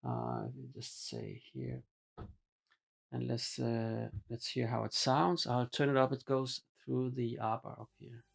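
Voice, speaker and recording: A man walking through a synthesizer patch in a home studio, talking in a relaxed, halting way with frequent ums and uhs. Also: medium pitch, creaky. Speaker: synthesizer demonstrator. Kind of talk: hands-on patch explanation. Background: synthesizer music.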